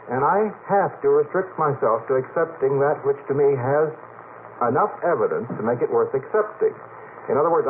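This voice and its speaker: A man debating as a guest on a radio panel, making his case in measured, formally worded, argumentative sentences. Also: fairly high pitch. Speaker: radio panel guest, science fiction writer. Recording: noisy narrow-band vintage radio recording. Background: clear noise.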